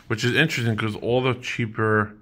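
Casual male interviewer with a lowish voice. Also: steady tone, fairly quick, fairly bright tone. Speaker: interviewer, beverage seller. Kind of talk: casual chat, interview questions. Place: indoor room at a table.

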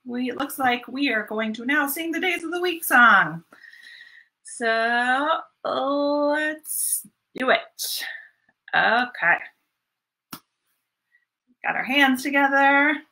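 A woman in her thirties leading a children's storytime, speaking in an animated, sing-song way with repeated phrases for young listeners. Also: a little high, very slow, pauses often. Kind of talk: children's storytime read-aloud and singalong. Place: small furnished room at home, indoors.

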